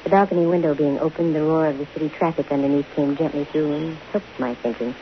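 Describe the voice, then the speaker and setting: Low female voice, wry private eye played by an actress, 1950s radio drama, muffled hissy recording. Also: fairly even intonation, quick pace, natural voice. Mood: reflective. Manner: dry, wisecracking. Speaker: lead actress and first-person narrator of a detective radio show.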